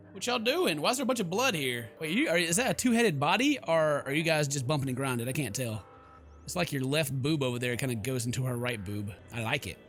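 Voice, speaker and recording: A man's voice: mid-range voice, fairly bright tone. A man hosting a let's play, reading game text aloud with informal, playful, exclamatory asides. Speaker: gaming video host. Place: indoors at home.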